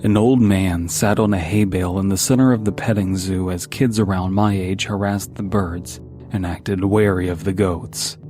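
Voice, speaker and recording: A man's voice: low-pitched, steady tone, normal speed, mellow. A man reading a scripted first-person horror story as its narrator. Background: background music.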